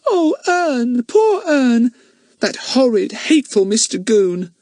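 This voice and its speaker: A man's voice: very high voice, very animated, takes it slowly, darkish tone, no room sound, studio quality, pitched above the performer's own voice, heavily acted. A man voicing a tender-hearted little girl in a storybook reading. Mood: indignant.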